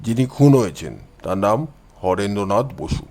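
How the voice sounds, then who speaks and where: Give the detective , as acted by a male storyteller, a low, deep register. He is calm and confident, laying out his reasoning in measured phrases with quiet self-assurance, as in an audio mystery story. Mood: calm, assured.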